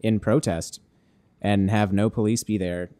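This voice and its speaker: A man's voice: on the low side, steady tone, a little slow, mellow. A man chatting casually as a podcast co-host. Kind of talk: casual chat.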